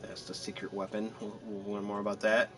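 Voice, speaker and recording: A man's voice: on the low side, flat delivery, brisk. A man giving casual gameplay commentary, rambling and joking as he thinks aloud. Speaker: gamer commenting on a playthrough.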